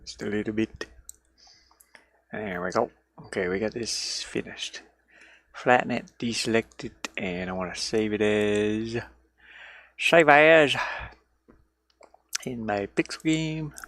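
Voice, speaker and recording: A man with a middling pitch talks casually, as if streaming to viewers while he works. His delivery is playful and rambling, and he wanders easily from one small aside to the next. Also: fairly bright tone. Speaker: streamer and indie game developer. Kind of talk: casual livestream chat while working. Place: inside a van.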